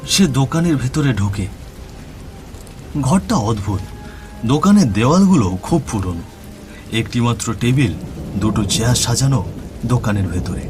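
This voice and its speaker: A man's voice: medium pitch, lively intonation, moderate pace, pauses often. A man narrating a scripted horror story as the storyteller. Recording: music bed with rain and thunder.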